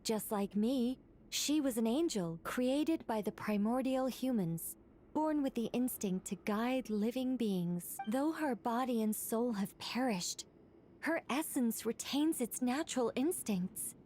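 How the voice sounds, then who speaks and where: High-voiced, solemn female guide. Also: expressive, a little slow, darkish tone, a little hiss or hum. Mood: calm, solemn. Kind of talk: scripted video game dialogue.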